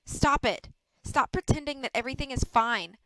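A woman's voice: a little high, a little fast, a bit bright, smooth, no echo, natural voice, poor audio. A woman reader acts out a proud young soap actress with a fiery streak. She is dramatic and forthright in an audiobook. The sound is clean and close. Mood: angry.

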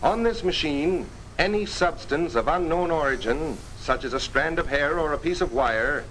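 A man reading scripted 1950s training film narration in a formal, earnest, measured storytelling manner. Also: a little high, expressive, fairly bright tone. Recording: noisy 1950s film soundtrack.

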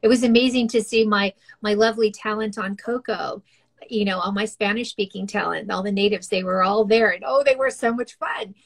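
A woman's voice: mid-range voice, steady tone, brisk. Chatty middle-aged casting director, woman, easygoing and upbeat, lots of fillers and laughs. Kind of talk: casual interview chat.

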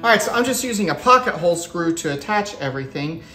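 A man's voice: sits high, expressive, quick pace, bright, forceful tone, soft background music. A man in his thirties giving casual step-by-step instruction as a tutorial host, recorded indoors in a workshop. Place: indoor woodworking shop. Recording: roomy echoing workshop sound.

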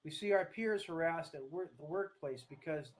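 An American man in his sixties speaks earnestly in a slightly high voice for a man. He is giving an online talk from a room at home, partly reading, and his delivery is halting and deliberate. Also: low-quality recording. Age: 60s. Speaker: speaker, coach. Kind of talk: online talk to camera, partly read from notes. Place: small room at home, indoors. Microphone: webcam or laptop at desk distance.

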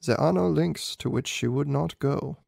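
A man's voice: on the high side, normal speed, gravelly, darkish tone, no echo, studio quality. A man voicing an anxious, proud young king in a storybook reading, solemn and emphatic. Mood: grave. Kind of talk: amateur audiobook character reading.

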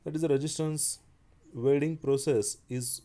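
A man giving a slide-based technical lecture, speaking haltingly with frequent restarts and fillers. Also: a little high, steady tone, mellow. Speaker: engineering lecturer.

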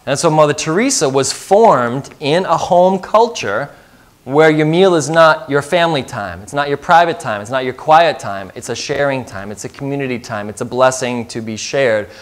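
A man's voice: mid-range voice, animated, moderate pace. A man in his thirties preaching a sermon as pastor, earnest and putting questions to the congregation, recorded in a church sanctuary.

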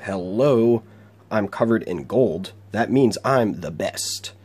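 Bragging vain robot, game character, sore throat, read aloud by a man, low voice. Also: lively intonation, slow, a little mellow, slightly rough, exaggerated voice. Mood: boastful. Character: boastful male robot, vain.

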